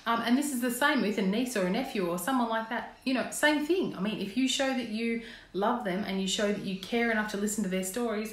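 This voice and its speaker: Australian woman, 30s, slightly croaky, earnest coach chatting to camera in a roomy home space. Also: medium pitch. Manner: earnest, encouraging, asks viewers questions. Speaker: parenting coach. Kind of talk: casual live-stream talk to viewers. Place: indoors at home, small room. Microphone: phone camera, close framing. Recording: roomy echo in a home room.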